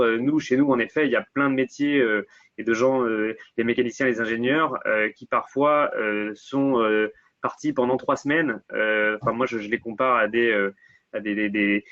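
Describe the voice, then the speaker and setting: A man's voice: mid-range voice, fairly bright tone, no echo, lo-fi sound. A man answering as a panel guest, hesitant and repeating words as he goes. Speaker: guest, motorsport professional.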